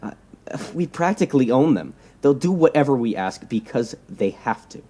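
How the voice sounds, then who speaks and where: An actor playing a persuasive, self-righteous young superhero leader in an audio drama, speaking earnestly and insistently and repeating words for emphasis. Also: male voice, mid-range voice, very animated, normal speed, warm tone. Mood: eager, scheming.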